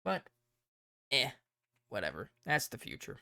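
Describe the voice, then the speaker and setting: Croaky-voiced man thinking aloud. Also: mid-range voice, wildly swooping pitch, measured pace, pushed and bright, dry recording. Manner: rambling, thinking aloud while planning. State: hoarse, tired voice. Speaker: streamer. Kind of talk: casual livestream chat.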